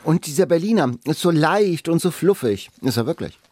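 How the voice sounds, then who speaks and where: A woman's voice: sits low, lively intonation, normal speed, mellow, breathy edge. A woman hosting a podcast in casual conversation, speaking standard speech. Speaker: podcast host. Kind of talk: casual chat.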